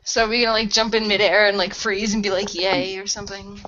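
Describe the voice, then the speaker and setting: Female role-play player, snarky, rapid delivery, muffled audio with faint noise. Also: medium pitch, level tone, bright, forceful tone. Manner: sarcastic, snarky. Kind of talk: casual banter.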